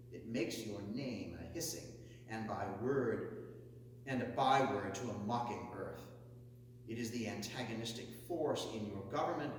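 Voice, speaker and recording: A man reading a historic speech aloud as a declamatory oration, building each list to forceful, emphatic peaks. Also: medium pitch. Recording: echoey hall, mic far off, faint hum.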